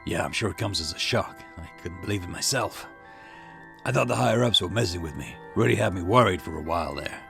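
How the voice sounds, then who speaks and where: A man voicing a gruff, impatient older police detective in a visual novel, with curt, weary, grumbling delivery. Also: deep voice, lively intonation, brisk, fairly bright tone, heavily acted. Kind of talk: acted visual novel dialogue. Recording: music behind the voice.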